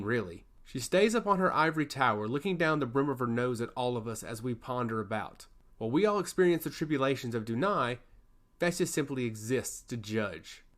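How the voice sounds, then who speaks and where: A man's voice: medium pitch, a bit bright. A man voicing a passionate god of chaos who loves humanity, speaking in earnest, emphatic monologue in a fantasy story. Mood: resentful. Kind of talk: fantasy storybook character dialogue.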